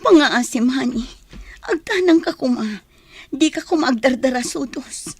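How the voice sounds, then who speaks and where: An anguished young wife in a radio melodrama. Her voice is high and recorded dry, and she pleads in a worried way as she deals with a violent husband. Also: animated, moderate pace, husky, no room sound, heavily acted. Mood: pleading. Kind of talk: melodramatic radio drama acting.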